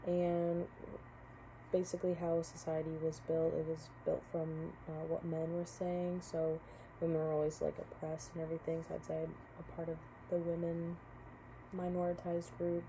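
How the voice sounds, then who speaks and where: Soft-spoken young woman, low and mellow. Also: completely flat delivery, normal speed, slight background noise, low-quality recording. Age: young adult. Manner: hesitant, many fillers and restarts. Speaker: college student. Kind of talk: casual spoken class reflection.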